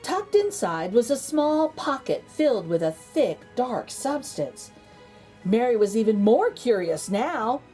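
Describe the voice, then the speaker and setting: A woman with a high voice narrates a children's picture book. Her delivery is theatrical and very lively, with big swings in pitch and dramatic pauses for emphasis. Soft music plays behind her. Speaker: children's read-aloud host.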